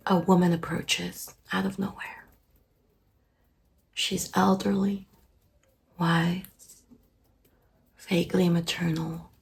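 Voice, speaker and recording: A soft-spoken female therapist, played by a woman in an ASMR meditation parody. She speaks very slowly and soothingly, often in a breathy whisper with a creaky edge. Her delivery stays calm and deadpan. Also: lowish voice, heavily acted. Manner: slow, soothing, hushed, deadpan. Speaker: ASMR performer.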